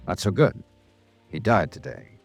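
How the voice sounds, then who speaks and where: An actor playing a hard-boiled insurance investigator with an American accent, terse and wry, in 1950s radio detective drama style. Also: male voice, deep voice, sing-song, a little slow, gravelly, husky, no room sound, full character voice. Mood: casual, probing. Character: hard-boiled male insurance investigator, cool, probing, narrating his case. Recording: vintage 1950s radio recording with music.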